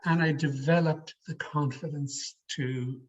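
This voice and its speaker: A middle-aged Irishman with a slightly high voice coaches public speaking in a deliberate, emphatic way. He answers questions over an online call, and the sound is a little dull and lo-fi. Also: fairly even intonation. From Ireland. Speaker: speaker leading a public speaking education session. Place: online video meeting.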